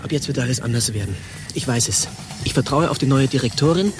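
An actor playing a weak, self-pitying but defiant administrative chief in a radio play, plaintive and overwrought. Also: male voice, mid-range voice, animated, fast, natural voice. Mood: weary, resigned. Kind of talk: theatrical radio play acting. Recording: music behind the voice.

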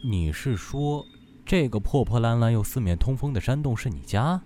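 An actor playing a calm, aloof and teasing male immortal in a Chinese fantasy audio drama. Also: medium pitch, normal speed, natural voice. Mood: teasing, cool. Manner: composed, dryly teasing.

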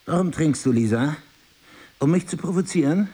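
Sardonic middle-aged husband, fairly high husky voice, insistent, pointed, stage-drama dialogue. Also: male voice, expressive, normal speed, mellow. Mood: reproachful. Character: probing, sardonic, exasperated husband of middle age. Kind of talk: intimate stage-play dialogue.

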